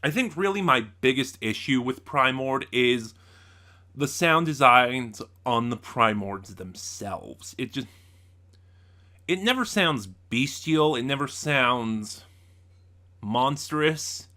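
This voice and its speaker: A man hosting a casual spoken review, thinking aloud in a halting way and often backtracking to rephrase himself. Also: mid-range voice, expressive, sharp tone. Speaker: audio drama reviewer, channel host.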